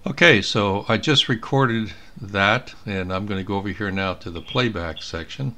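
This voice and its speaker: Low-voiced man giving a casual tutorial. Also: normal speed, bright, forceful tone. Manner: thinking aloud while working. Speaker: amateur radio operator demonstrating software. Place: indoors at a computer.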